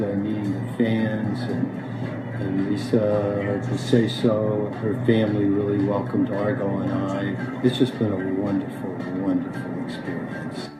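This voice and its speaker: A man giving a reflective spoken testimonial in a recorded video. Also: fairly low pitch. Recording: roomy echo, loud music underneath. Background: loud music.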